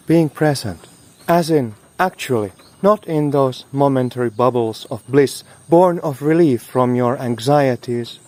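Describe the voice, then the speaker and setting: A man hosting a scripted spiritual talk, speaking solemnly and oratorically in short, deliberate phrases. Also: mid-range voice, mellow. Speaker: host of a spiritual talk series.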